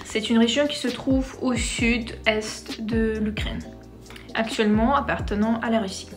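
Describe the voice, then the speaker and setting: Vlogueuse d'une vingtaine d'années, originaire de Crimée, langue maternelle tatar de Crimée, voix assez aiguë, ton vif et joueur. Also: female voice, a little high, steady tone, unhurried, over music. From Crimea, Ukraine. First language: Crimean Tatar. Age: 20s. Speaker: vlogger. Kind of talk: personal storytelling to camera.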